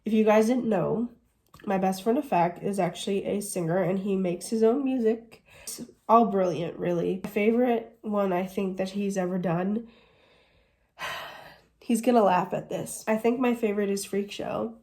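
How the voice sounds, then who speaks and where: Mellow-voiced chatty young woman. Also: medium pitch, moderate pace. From United States. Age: 20s. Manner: chatty, gushing, says "literally" often. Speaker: vlogger. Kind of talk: casual Q&A vlog.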